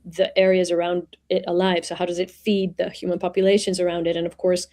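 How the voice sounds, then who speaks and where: A woman in her forties from Venezuela, talking as an interview guest in a reflective way, pausing and searching for words as she explains. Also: fairly low pitch, little pitch movement, warm tone. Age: 40s. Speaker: guest, executive coach. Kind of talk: conversational interview.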